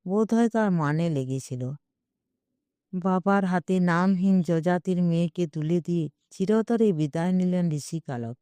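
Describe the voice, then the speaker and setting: A woman's voice: fairly low pitch, unhurried, warm tone, smooth, dry recording. A woman reading a scripted literary story in the first person, with a wry, dramatic manner. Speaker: story narrator.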